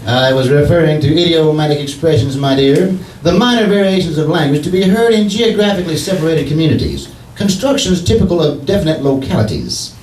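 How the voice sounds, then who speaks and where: A man's voice: on the high side, lively intonation, fairly quick, few pauses, darkish tone, close to an everyday voice. A man playing a folksy, blustering husband in old-time radio comedy, slangy and eager with mock-pompous turns. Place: theatre stage, live performance. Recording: roomy live stage, distant mic, faint noise.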